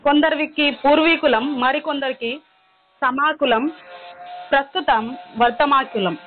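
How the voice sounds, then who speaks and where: A woman answering in a phone conversation with a brisk, assured manner and neatly measured phrasing. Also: sits high, steady tone, soft background music. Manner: brisk, assured, recites rhyming lists. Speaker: interviewee, teacher. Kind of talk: witty rhyming replies in a phone conversation. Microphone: phone line. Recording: tinny phone call recording.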